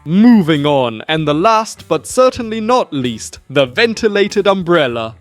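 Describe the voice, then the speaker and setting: A grandiose puppet scientist in a children's show. The voice is high and wildly animated, proudly declaiming with theatrical boastfulness. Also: male voice, measured pace, faint music behind. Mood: cheerful showmanship. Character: grandiose eccentric mad-scientist puppet inventor, proud of absurd gadgets.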